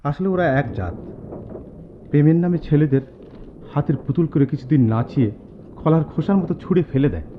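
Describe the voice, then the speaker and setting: An actor playing an aloof, self-possessed young male student in a Bengali radio drama, measured and dry. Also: a little high, expressive, lightly acted. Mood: reflective, bitter. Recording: tinny phone-like audio, clear vehicle noise.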